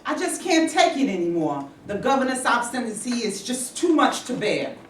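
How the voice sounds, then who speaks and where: A woman's voice: fairly high pitch, big pitch swings, normal speed. A woman acting an exasperated, impatient civil rights activist in a staged play reading, emphatic and fed up. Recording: echoey, noisy stage recording from far off.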